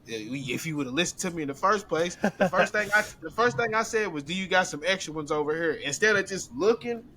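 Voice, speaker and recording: A man's voice: high-pitched, lively intonation, brisk, sharp tone, a little hiss or hum. A man in his thirties, co-hosting a podcast, telling a work story in a laid-back, slangy way. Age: 30s. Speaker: podcast co-host. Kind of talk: casual chat.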